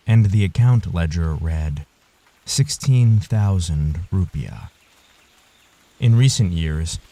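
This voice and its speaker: A man narrating a scripted literary audio story in a measured, solemn manner. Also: deep voice, mellow.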